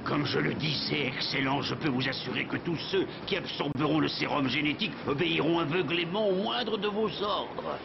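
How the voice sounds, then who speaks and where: Dull cartoon audio over heavy background noise. a servile male henchman with a creaky, slightly high voice. He is deferential and explanatory, forever reporting to his master. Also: normal speed, few pauses. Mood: assured, servile. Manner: obsequious, explanatory. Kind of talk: French cartoon dub acting.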